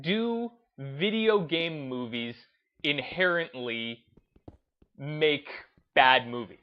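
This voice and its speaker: A man hosting a gaming video series, giving unscripted commentary in a halting, reflective way and often rephrasing himself. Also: fairly high pitch, lively intonation, muffled recording.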